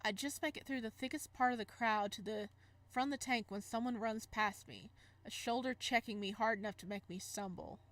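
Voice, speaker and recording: A woman's voice: fairly high pitch, moderate pace, fairly bright tone. A woman reading a visual novel aloud with casual reactions, giggling and stumbling over words as she goes. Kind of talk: let's play read-aloud with casual reactions.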